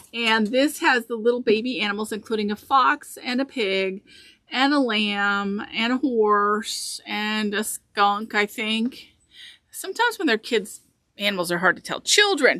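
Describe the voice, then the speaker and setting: A woman's voice: a little high, sharp tone, no room sound. A woman in her fifties co-hosting a fabric shop video, chatty and enthusiastic, repeating each item number as she shows bolts in the store. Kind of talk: casual product showcase. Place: indoor fabric store among shelves. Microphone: small camera on table nearby.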